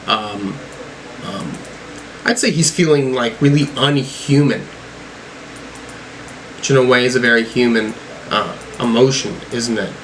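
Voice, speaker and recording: Casual, halting man with noisy background. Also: medium pitch, fairly bright tone. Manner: halting, thinking aloud as he goes. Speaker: music reviewer reacting to songs. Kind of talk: casual reaction commentary.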